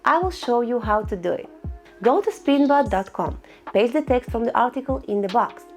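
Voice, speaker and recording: Female tutorial host, fairly high voice, instructional and a bit cheeky, soft music bed. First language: Russian. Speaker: online income tutorial host. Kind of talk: screen-recorded tutorial voice-over.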